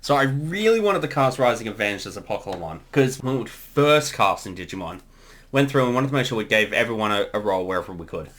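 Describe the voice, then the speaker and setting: A man's voice: lowish voice, very animated, fairly quick, a bit bright. A man speaking as a series creator, giving a casual commentary.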